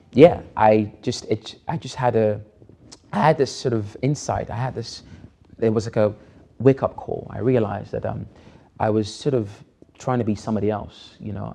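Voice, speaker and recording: A man's voice: fairly low pitch, creaky, dark tone. A man in his twenties, a film director, talking reflectively about his past in an interview, hesitating and restarting often. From Nigeria. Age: 20s. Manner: hesitant, many restarts and "you know".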